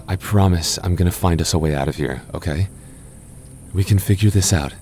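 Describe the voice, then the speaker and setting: A man voicing a gentle, shy goth boyfriend in an ASMR roleplay, soft-spoken and stammering as he reassures his listener. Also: deep voice, brisk, slight background noise, natural voice. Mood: reassuring. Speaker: voice actor.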